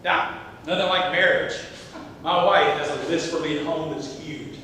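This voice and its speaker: A man's voice: steady tone, normal speed, pushed and bright. A man playing a wry, folksy married handyman in a community theatre comedy, talking dryly. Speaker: amateur stage actor. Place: theatre stage, distant microphone. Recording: echoey hall from far off, rumble.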